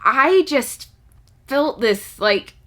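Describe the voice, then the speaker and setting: A woman's voice: sits high, expressive, drawn-out words, a bit bright. A woman talking casually and enthusiastically to her audience, in the chatty style of an online reviewer. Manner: enthusiastic, gushing about favourites. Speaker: book reviewer and channel host. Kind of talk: casual chatty book talk to camera.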